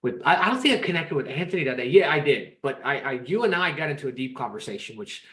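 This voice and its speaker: A man's voice: mid-range voice, lively intonation, fast, a bit bright. A man from Peru, in his thirties, chatting as a podcast guest in an upbeat, rambling way with many restarts. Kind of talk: casual interview chat.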